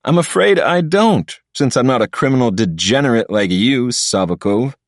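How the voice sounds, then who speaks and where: A man's voice: mid-range voice, expressive, measured pace, dry recording, clean studio sound, pitched above the performer's own voice, natural voice. A man voicing a smug, pompous young man who sneers and blusters at his rival. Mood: sneering. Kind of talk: audiobook character voice.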